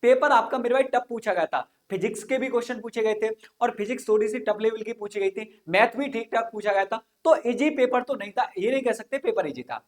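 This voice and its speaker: A man's voice: very high voice, a little fast, few pauses. A man teaching an informal online exam-coaching lesson, explaining things repetitively and often checking that listeners follow. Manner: repetitive, frequent check-in questions.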